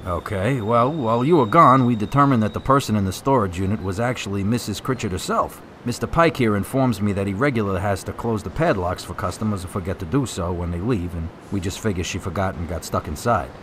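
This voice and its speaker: A man's voice: fairly low pitch, fast, hardly any pauses, fairly bright tone, a little hiss or hum, close to an everyday voice. A man acting in a radio drama as a skeptical, plain-spoken police officer with a casual, folksy manner. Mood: casual, explaining.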